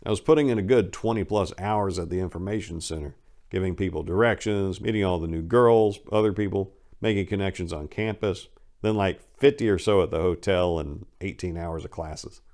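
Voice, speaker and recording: A man's voice: deep voice, brisk, a little mellow. A man narrating a first-person story in a dramatic audiobook reading, with a blunt, streetwise, conversational manner.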